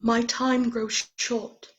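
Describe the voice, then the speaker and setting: A calm, sorrowful young spirit. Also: female voice, on the high side, highs cut off, heavy background noise. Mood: solemn. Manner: calm, measured, gently reproachful. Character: Christmas past spirit who speaks as Belle, young woman, calm and sorrowful. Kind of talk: radio play acting.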